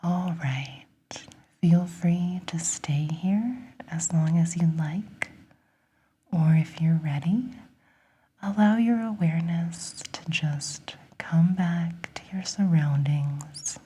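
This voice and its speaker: A woman leads a guided relaxation in a very breathy whisper. She speaks slowly and gently, with a mellow tone. The delivery is soothing and encouraging, in an ASMR style. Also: on the low side.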